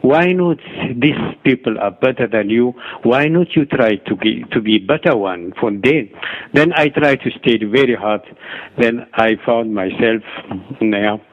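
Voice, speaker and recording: A middle-aged Ethiopian-accented man speaks very slowly and haltingly, repeating himself as he feels for each phrase. His voice comes through a dull, lo-fi radio recording. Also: medium pitch. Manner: halting, repeats and restarts words. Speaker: guest author and doctor. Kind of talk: interview answers.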